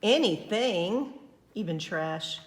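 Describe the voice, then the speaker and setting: A woman teacher reading a storybook aloud to children, expressive, with simple explanatory asides. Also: medium pitch, slow pace.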